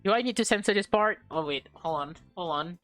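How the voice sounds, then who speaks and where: A woman's voice: on the low side, animated, a little fast, a bit bright. A woman hosting a gaming video, giving casual, playful commentary and reading dialogue aloud with small stumbles. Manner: informal, playful reacting, stumbles while reading. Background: background music.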